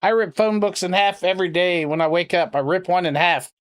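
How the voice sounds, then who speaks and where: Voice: mid-range voice, a little fast. A prank caller and streamer chatting casually, with a sarcastic, crude, rambling way of talking. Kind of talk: casual livestream chat and prank calling.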